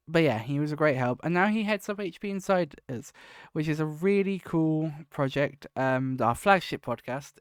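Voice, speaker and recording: Male podcast host, casual and meandering, slightly sniffly; crisp voice, dead-dry close mic. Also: medium pitch, dry recording. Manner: rambling, self-correcting, warmly grateful. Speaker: podcast host and project lead. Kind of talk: casual solo podcast talk.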